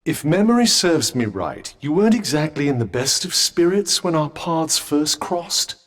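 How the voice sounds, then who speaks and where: A snooty sentient magic armband from a video game, droll n sardonic, pompous, voice swoops up and down a lot. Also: male voice, a little high, very animated, moderate pace, fairly bright tone, big-room sound. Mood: dry, knowing. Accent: British. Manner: pompous, droll, erudite wording. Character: talking magical vambrace, male, witty, haughty, sardonic companion. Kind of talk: video game character dialogue.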